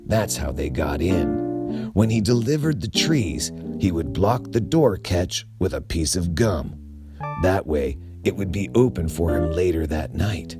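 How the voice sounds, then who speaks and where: A man reading a children's mystery book aloud in a slow, expressive manner. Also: low voice. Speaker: storyteller.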